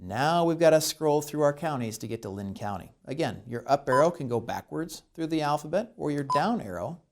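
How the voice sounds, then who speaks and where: A man presenting a step-by-step instructional demonstration, explaining each step deliberately. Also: a little high, expressive.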